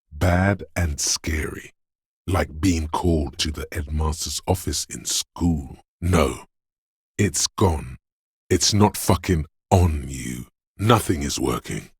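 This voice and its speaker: A man voicing the menacing inner voice of a detective's adrenaline and fear in a video game, narrating in the second person with brooding, profane intensity and a husky, gravelly tone. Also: very deep voice, no room sound, clean studio sound, heavily acted. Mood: alarmed, dread. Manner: brooding, deliberate, ominous, profane.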